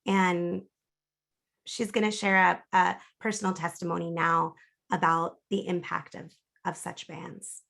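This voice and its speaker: A woman's voice: on the low side, flat delivery, unhurried, gravelly, a bit bright. A woman hosting a webinar over a video call, moderating informally and introducing speakers.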